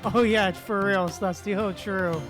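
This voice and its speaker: A woman's voice: mid-range voice, steady tone, normal speed. A woman chatting casually while livestreaming a game, laid-back, with murmured asides. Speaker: game streamer. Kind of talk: casual livestream chat over gameplay. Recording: game music behind voice.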